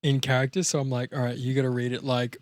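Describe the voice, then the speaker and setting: A man chatting casually as a podcast co-host and actor, riffing in an animated, sweary way. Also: medium pitch, fast talker, no echo, clean studio sound.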